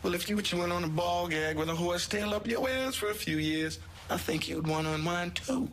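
An actor playing a brash, crude street pimp who teases and swaggers. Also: male voice, high-pitched, a little fast, a bit bright. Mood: swaggering. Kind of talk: video game cutscene. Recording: noisy game background.

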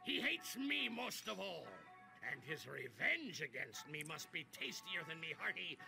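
An actor playing a boastful old pirate who runs a chicken shop, talking in a gravelly, theatrical pirate brogue. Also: male voice, a little high, big pitch swings, unhurried, music in the background, exaggerated voice, rough recording. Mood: dramatic. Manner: swings from hearty boasting to dark dread and shouts.

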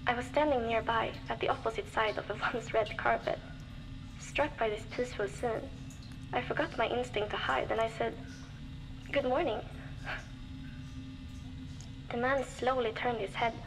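An actress playing a young woman survivor, reflective and determined, recording a measured audio diary for a video game. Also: fairly high pitch, low-quality recording. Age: young adult.

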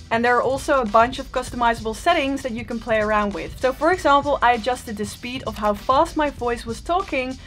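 A young woman in her twenties talking casually and enthusiastically to the camera in a small bedroom. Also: a little high. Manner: bubbly, enthusiastic. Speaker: vlogger. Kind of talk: casual vlog talk to camera.

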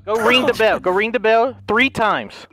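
Very high-pitched excitable gamer guy. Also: moderate pace, a crowd in the background. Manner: excitable, profane. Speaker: gaming video creator. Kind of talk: casual gameplay banter with friends.

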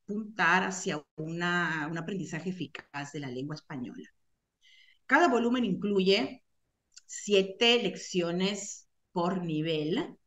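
Peruvian woman teaching, lower voice. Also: fairly bright tone. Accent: Peruvian Spanish. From Peru. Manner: hesitant, many fillers and self-corrections. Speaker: Spanish teacher presenting a webinar. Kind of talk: webinar presentation. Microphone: video call.

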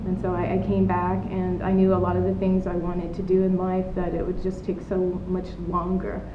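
A woman's voice: completely flat delivery, normal speed. Chatty woman in her thirties, roomy sound. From United States. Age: 30s. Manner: chatty and reflective, with many fillers and restarts. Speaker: artist being interviewed. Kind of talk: casual interview conversation. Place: outdoor patio of an art studio. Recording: roomy, dull outdoor recording with rumble.

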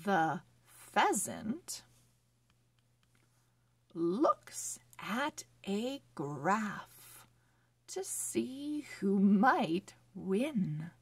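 A woman hosting a children's read-along phonics lesson, speaking in a sing-song, encouraging way with deliberate, exaggerated enunciation. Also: medium pitch, very animated, gravelly, breathy edge, dry recording.

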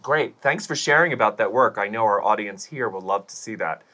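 A man's voice: on the low side, animated, brisk, bright, forceful tone. A middle-aged man hosting an interview in a polite, prepared way, out on a porch. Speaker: interview host. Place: outdoors on a house porch.

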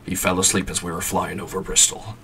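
Man putting on a big shaggy half-giant, gruff and blubbery, deep gravelly voice. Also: level tone, a little fast, sharp tone, far from the mic, slight background noise, heavily acted.

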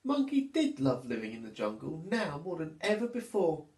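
A man, a teacher reading a storybook to children in an expressive, deliberate way. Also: fairly high pitch. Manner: expressive, deliberate, addressing young listeners. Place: small plain room at home, indoors. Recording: echoey small room.

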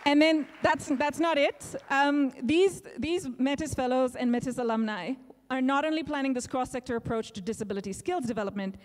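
A woman's voice: high voice, a little fast, few pauses. Upbeat woman emcee in an echoing hall. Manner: upbeat, urging applause. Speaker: event host. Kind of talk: emcee announcements at an awards event. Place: event hall on a stage. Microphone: handheld microphone. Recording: reverberant event hall.

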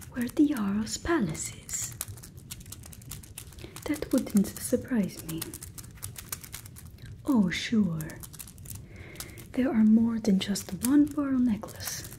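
A young woman playing a stern, mocking fantasy guard in a breathy whispered ASMR roleplay, suspicious and sarcastic. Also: mid-range voice, warm tone, a little hiss or hum. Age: 20s.

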